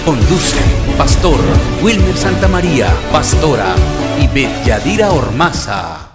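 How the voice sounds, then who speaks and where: Female announcer, low voice, slow dramatic delivery, loud music bed. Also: big pitch swings. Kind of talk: scripted promo narration.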